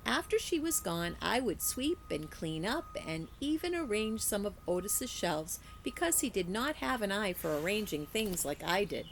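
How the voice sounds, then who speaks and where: A woman reads a children's novel aloud in an expressive, teacherly style. Her pitch moves in lively swings and often settles into a creaky edge. Faint noise sits behind the voice. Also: moderate pace, few pauses. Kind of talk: expressive children's story reading.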